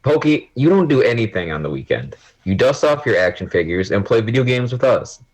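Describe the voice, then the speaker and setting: A young man playing a blunt, teasing but supportive gamer friend with a husky voice in an amateur audio drama. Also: medium pitch, sing-song, brisk, low-quality recording.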